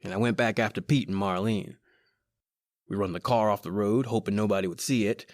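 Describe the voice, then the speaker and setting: A man's voice: on the low side, fairly even intonation, fairly quick, no room sound, studio quality, lightly acted. A man with an American accent playing a cocky, slangy small-time crook in an old-time radio horror drama. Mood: wry, uneasy. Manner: slangy, colloquial, conversational. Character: cocky wisecracking small-time male crook telling his own eerie tale. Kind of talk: old-time radio horror acting, first-person narration and dialogue.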